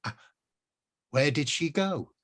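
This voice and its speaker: A man voicing a bewildered male wizard from the Dark Ages, with archaic, dramatic, easily alarmed speech in a storybook reading. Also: fairly high pitch, lively intonation, slow, voice pushed up. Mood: puzzled.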